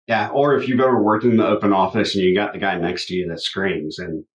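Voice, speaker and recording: A loud-voiced man co-hosting a podcast in casual chat. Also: deep voice, steady tone, a little fast, roomy sound, poor audio. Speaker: podcast co-host.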